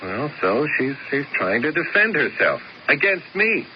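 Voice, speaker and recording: An actor playing a nervous, excitable young man who stammers and pleads, in a 1970s radio drama. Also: a little high, a little slow. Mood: agitated. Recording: dull old radio broadcast with faint hiss.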